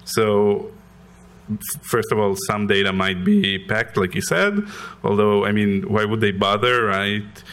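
A man's voice: fairly low pitch, a little slow, fairly bright tone. A man from Israel with an Israeli accent, answering audience questions after a conference talk, hesitant with many ums and restarts. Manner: hesitant, many ums, restarts, light self-deprecating laughs. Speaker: conference speaker.

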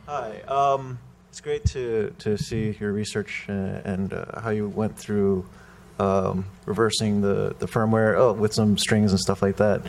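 A man in the audience asks a technical question, hesitating with many ums and uhs. His voice sits a little low, with faint background noise behind it. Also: fairly even intonation, unhurried, gravelly.

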